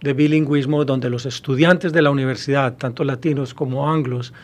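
A man in his fifties with a Colombian Spanish accent, a university professor answering interview questions, pausing often with hesitations and restarts, recorded on a studio mic. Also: mid-range voice, steady tone. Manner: thoughtful, many hesitations and restarts. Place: small studio with blue backdrop. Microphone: studio mic on boom arm.

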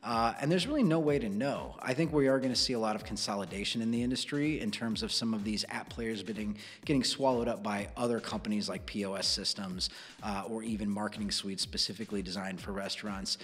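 A man's voice: on the low side, brisk, fairly bright tone, breathy edge, big-room sound. An American man in his thirties presenting and discussing as a podcast host in a conversational way. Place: small dark indoor studio. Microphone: close broadcast studio microphone on boom arm.

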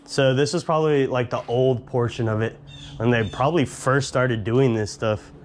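A man's voice: mid-range voice, fairly even intonation, normal speed, slight background noise. A young man hosting an exploration vlog, chatting casually to viewers with lots of fillers while walking through an abandoned base. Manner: easygoing, filler-heavy, addresses viewers directly. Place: abandoned military base, outdoors and inside empty buildings.

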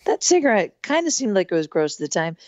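A woman in her thirties with a hoarse, strained voice, chatting casually as a podcast guest with lots of fillers. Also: medium pitch, big pitch swings, fast talker, darkish tone, no echo, highs cut off. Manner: chatty, rambling with many fillers. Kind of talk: casual conversation.

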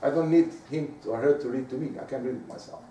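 A man from Portugal with a Portuguese accent, whose first language is Portuguese, giving a lively lecture on presenting and often asking the audience rhetorical questions. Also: mid-range voice, fast, slight background noise. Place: lecture room. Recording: echoey room, speaker far from the mic.

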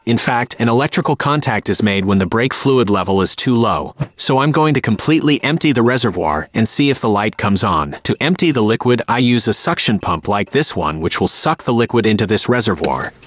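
Man calmly narrating a car repair. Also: on the low side, brisk, hardly any pauses, no room sound. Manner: calm, step-by-step explaining. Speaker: car repair video host. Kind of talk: scripted how-to voice-over.

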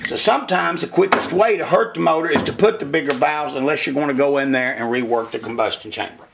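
A man's voice: fairly high pitch, fast, vocal fry, noisy background. A man talking casually as he demonstrates engine head porting at a workshop bench, in a plainspoken, folksy way. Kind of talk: hands-on shop demonstration.

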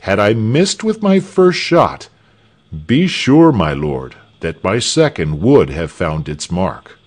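A man's voice: fairly low pitch, big pitch swings, slow pace, a little mellow, husky, exaggerated voice. A man voicing a proud, defiant archer in a storybook reading, with formal, declamatory speech. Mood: steady, defiant. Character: proud, defiant male archer facing a tyrant.

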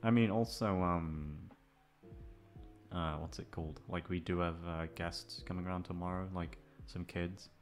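A man's voice: deep voice, steady tone, moderate pace. A man in his twenties chatting casually and hesitantly to listeners, self-deprecating and swearing freely as he rambles. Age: 20s. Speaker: voice actor addressing listeners. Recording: music playing behind the voice. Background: background music.

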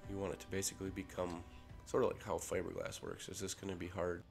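A man with a head cold, casually talking through a workshop ring build in a relaxed way with fillers. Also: sits low, fast talker. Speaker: maker showing a ring build. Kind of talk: casual workshop tutorial voice-over. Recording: voice-over with clear music behind.

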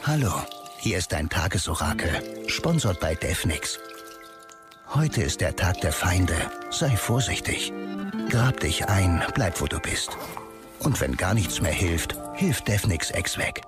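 An actor playing a theatrical oracle that proclaims a sponsored message in a sci-fi audio drama. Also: male voice, deep voice, sing-song, slow pace, over music, heavily acted. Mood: ominous, salesy.

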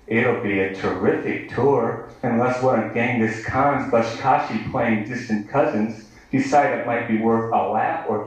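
A man's voice: medium pitch, highs cut off. Echoey, sardonic American male poet reading live. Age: 30s. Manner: sardonic, declamatory. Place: indoor bar venue, live reading. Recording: echoey live bar recording, distant mic.

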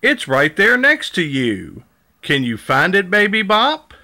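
A man's voice: sits high, wildly swooping pitch, unhurried, sharp tone, exaggerated voice. A man reading the part of a cheerful, helpful big dinosaur in a children's storybook, encouraging and sing-song.

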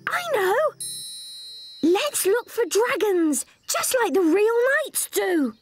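A woman voicing an eager, sweet-natured young village child in a children's animated series, childlike, wide-eyed and expressive. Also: very high voice, takes it slowly, soft background music, full character voice.

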